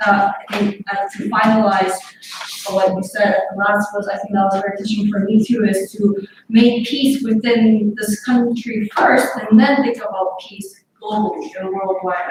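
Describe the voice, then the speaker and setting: Echoey distant woman moderating a panel. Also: mid-range voice. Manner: summarizing, with frequent "uh" fillers. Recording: echoey and distant with noticeable background noise.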